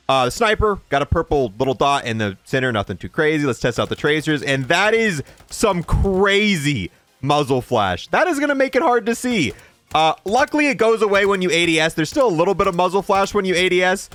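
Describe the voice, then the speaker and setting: A man gives casual video game review commentary. His voice sits a little high, and he talks informally, rambling and thinking aloud as he goes. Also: a bit bright, no room sound.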